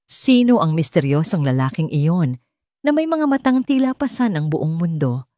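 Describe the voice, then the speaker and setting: A woman's voice: low-pitched, sing-song, normal speed, dark tone, dry recording. A woman reading a scripted romance story as an audiobook narrator.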